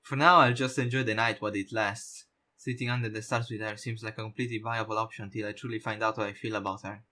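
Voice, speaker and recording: A man's voice: on the low side, steady tone, fast talker, few pauses, pushed and bright. A man hosting a let's-play of a game, talking casually and swearing now and then. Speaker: gaming YouTuber, let's-play host. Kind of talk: casual let's-play commentary with on-screen text read aloud.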